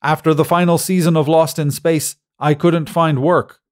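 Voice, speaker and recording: A man's voice: on the high side, little pitch movement, moderate pace, pitched above the performer's own voice, close to an everyday voice. A man voicing an aggrieved film-star robot with the fruity, theatrical manner of a stage actor, in a science fiction audiobook. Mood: rankled.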